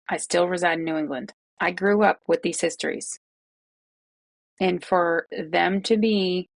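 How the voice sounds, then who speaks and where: Gravelly-voiced exasperated woman vlogger from New England. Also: mid-range voice, no room sound. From Massachusetts, North Shore of Boston. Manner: incredulous, ranting, exasperated asides. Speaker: true crime YouTuber. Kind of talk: casual commentary vlog.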